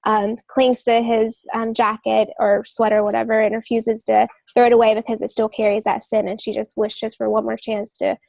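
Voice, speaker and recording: A woman's voice: a little high, little pitch movement, normal speed, gravelly, hardly any pauses, a little mellow, no echo. A woman co-hosting a podcast, giving writing advice in an informal, explanatory, conversational way. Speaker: podcast co-host, novelist.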